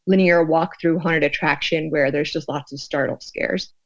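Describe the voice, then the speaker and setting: Woman, lowish voice, thoughtful interview guest, halting explanations, dull lo-fi recording. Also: steady tone. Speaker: guest, sociologist and fear researcher.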